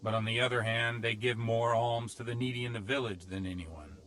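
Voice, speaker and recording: An actor playing a cautious, protective older village priest in a video game, speaking plainly and earnestly. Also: male voice, mid-range voice, fairly even intonation, brisk, fairly bright tone, slight background noise, natural voice, low-quality recording. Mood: reflective. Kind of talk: video game cutscene acting. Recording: music behind the voice.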